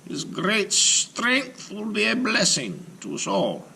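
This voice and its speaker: A man's voice: sits high, huge pitch swings, slow pace, gravelly, bright, forceful tone, a little hiss or hum, voice pushed up, full character voice. A man voicing a commanding old rabbi. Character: elderly male rabbi, commanding and solemn.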